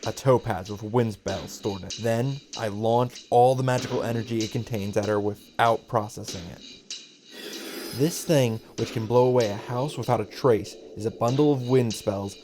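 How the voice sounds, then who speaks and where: A man reading the part of a proud young female mage from a visual novel, in measured, solemn dramatic narration. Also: mid-range voice, background noise, lightly acted. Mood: grave, tense.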